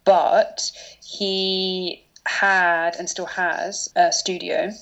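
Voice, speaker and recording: Guest woman, 30s, from London, low gravelly voice, chatty with lots of fillers, muffled lo-fi sound. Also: level tone, very slow, a bit bright. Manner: chatty, many fillers and restarts. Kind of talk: casual interview chat.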